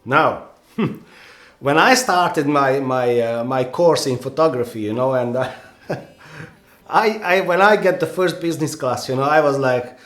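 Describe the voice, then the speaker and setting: A man's voice: mid-range voice, a little slow, a bit bright, reverberant. A man with a Hungarian accent, a native Hungarian speaker, giving earnest, rambling photography advice with many restarts and "you know"s in a casual vlog.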